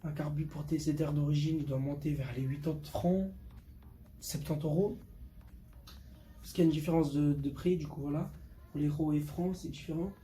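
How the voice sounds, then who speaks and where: Mellow teenage boy, casual and slangy. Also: on the high side, fairly even intonation, moderate pace, pauses often. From Portugal. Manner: slangy, repeats words for emphasis. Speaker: vlogger showing motorbike. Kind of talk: casual unscripted vlog talk. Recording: clear background noise.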